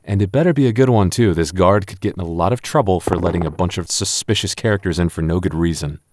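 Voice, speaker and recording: A man's voice: low-pitched, quick pace, background noise, natural voice. A man acting an exasperated young male game master and childhood friend in an audio roleplay, with a hesitant manner. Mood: grudging, exasperated.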